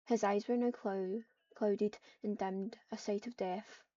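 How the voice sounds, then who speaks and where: A woman narrating an improvised roleplay story, reading it aloud haltingly and stumbling over words. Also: medium pitch, steady tone, warm tone, no echo. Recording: dull, muffled lo-fi microphone.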